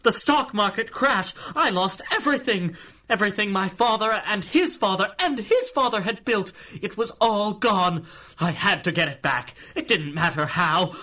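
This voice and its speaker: An actor playing a greedy, cowardly rich miser in a melodramatic radio drama, whimpering and overwrought. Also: male voice, high voice, expressive, normal speed, sharp tone. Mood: defiant, bitter. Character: craven, greedy rich miser, terrified and ranting. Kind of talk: melodramatic 1930s-set radio drama acting.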